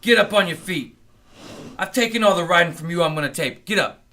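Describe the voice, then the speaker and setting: A man's voice: high-pitched, fairly quick, pushed and bright. An actor playing a hot-tempered, threatening young gunman in an old-time radio drama, barking aggressively. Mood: enraged.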